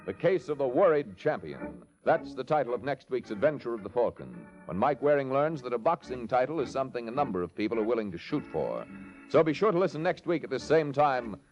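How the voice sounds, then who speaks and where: A man's voice: medium pitch, big pitch swings, a little fast, darkish tone, soft background music. An American man announcing on 1950s radio in a hearty, polished, scripted sales delivery. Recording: dull, band-limited old radio recording.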